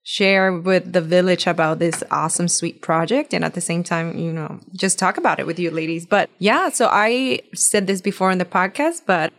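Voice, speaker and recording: A woman's voice: fairly low pitch, moderate pace, few pauses. Puerto rican lady, spanish is her first language, chatty guest on a podcast, laughs a lot. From Puerto Rico. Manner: laughs often, chatty and bubbly. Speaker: guest author. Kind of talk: casual podcast interview.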